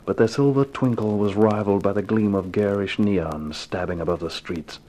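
An actor playing a tough, guarded private eye in a radio crime drama, terse, poker-faced and sardonic. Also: male voice, on the low side, moderate pace, warm tone, close to an everyday voice. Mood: brooding, weary. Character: tough, guarded hard-boiled private eye, narrating his case. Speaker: actor playing detective and narrator. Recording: noisy old 1950s radio recording.

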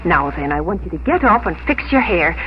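Gentle, firm young unmarried daughter, earnest and composed, on a muffled radio recording with faint noise. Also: female voice, lowish voice, very animated, brisk. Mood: firm, pleading. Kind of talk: 1950s radio drama acting.

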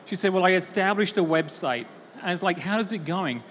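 A woman from Texas giving an energetic, conversational talk at a conference and asking the audience questions. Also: lowish voice, brisk, slight background noise. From Austin, Texas. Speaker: conference keynote speaker. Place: large conference hall. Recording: roomy hall with faint ambience.

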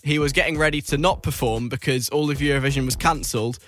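A man hosts a casual radio show. His voice sits at a middling pitch and he talks in a chatty, informal way. Music plays lightly behind him. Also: fast talker, fairly bright tone. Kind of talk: casual radio presenting and interviewing.

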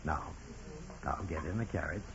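An actor playing a kindly, solemn parish priest in 1940s radio drama style, measured and gently paternal. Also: male voice, extremely low pitch, crowd noise. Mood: tender farewell. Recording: dull vintage radio recording, music behind.

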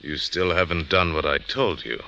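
A cold, low-voiced killer. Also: male voice, moderate pace, vocal fry, bright, forceful tone, slight background noise, heavily acted. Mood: menacing. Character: menacing male killer in the shadows. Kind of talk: 1940s radio acting. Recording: dull old radio recording.

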